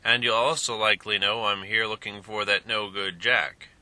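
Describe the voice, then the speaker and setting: A man voicing a gruff grown man who talks bluntly and commandingly. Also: lowish voice, steady tone, normal speed, sharp tone, dry recording.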